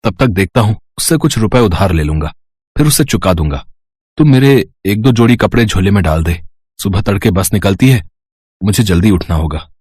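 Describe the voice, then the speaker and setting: Poor village husband, played by a man: low, soft-spoken, humble and reassuring, storybook cartoon. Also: expressive, normal speed, husky, no room sound, clean studio sound. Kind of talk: animated storybook cartoon dialogue.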